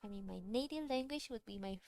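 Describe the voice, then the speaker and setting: A woman's voice: fairly high pitch, fast talker, creaky, a little mellow. A woman whose first language is Cantonese, chatting casually on a livestream while she draws, rambling and answering chat questions. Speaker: streamer drawing a webcomic. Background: faint music.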